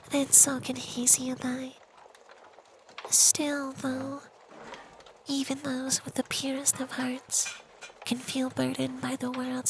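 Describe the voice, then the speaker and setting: A performer voicing a gentle, soothing femboy vampire in a soft, half-whispered ASMR roleplay. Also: high voice, background noise. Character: gentle femboy vampire who senses emotions, soothing, wistful.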